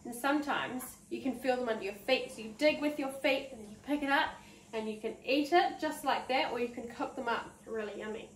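A woman in her thirties with a New Zealand accent, leading an interactive children's story time with animated, encouraging, drawn-out teacher talk. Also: fairly high pitch. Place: preschool room, indoors. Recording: roomy, distant mic, background noise.

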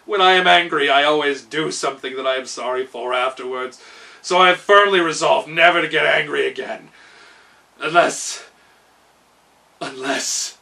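A man's voice: a little high, normal speed, creaky, pushed and bright, far from the mic. A man voicing a blustering, cowardly gnome king from a storybook, theatrical and stammering. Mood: grand. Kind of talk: storybook character voice.

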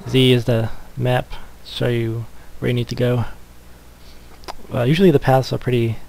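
A man giving casual gameplay commentary as a Let's Play gamer, in a hesitant, low-key manner. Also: flat delivery, normal speed, vocal fry, a little mellow, low-quality recording. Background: game music.

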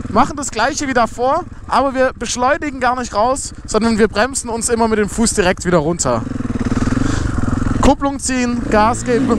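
A young man giving a casual how-to explanation while riding a motorcycle outdoors, recorded on a ride-along camera. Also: high voice. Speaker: motovlogger. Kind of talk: riding tutorial commentary. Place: outdoors on a motorcycle on a closed road. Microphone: helmet or body camera while riding. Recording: faint motorcycle engine noise. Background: motorcycle engine.

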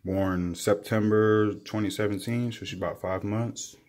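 Slow low-voiced man showing off his snake. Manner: excited, admiring, unhurried. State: sweating, a bit winded while handling. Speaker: reptile keeper vlogger. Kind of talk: casual handheld show-and-tell vlog. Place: indoors at home, by a table. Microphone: handheld phone camera, off-screen speaker.

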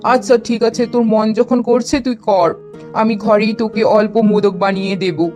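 Woman playing a gentle high-voiced cartoon mother. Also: steady tone, normal speed, faint music behind, lightly acted. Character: worried, tender mother of a young girl. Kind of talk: cartoon character dialogue.

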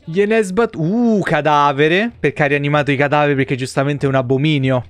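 A man's voice: a little high, brisk, dry recording. A man in his thirties casually commenting on a game live, in slangy speech with free swearing. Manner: crude, slangy, swears freely. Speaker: game streamer. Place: small room at home, streaming setup. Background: game music.

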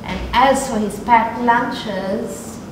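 A woman's voice: a little high, drawn-out words. A middle-aged woman, reading expressively to children as a storytelling host. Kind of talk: expressive read-aloud for children. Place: living room sofa, indoors. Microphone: lapel mic. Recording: very echoey room, background noise.

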